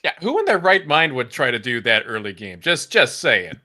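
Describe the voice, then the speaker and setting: A man's voice: mid-range voice, very animated, moderate pace, sharp tone. A man chatting casually as a co-commentator on a sports broadcast. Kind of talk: casual chat.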